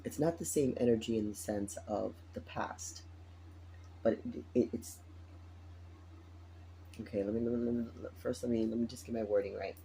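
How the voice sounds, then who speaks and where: Male tarot reader, raspy and tired, mellow low timbre, loose conversational delivery. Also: moderate pace, long pauses, a little hiss or hum, poor audio. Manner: chatty, repeats phrases, laughs often. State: tired, morning voice. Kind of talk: casual spoken tarot reading.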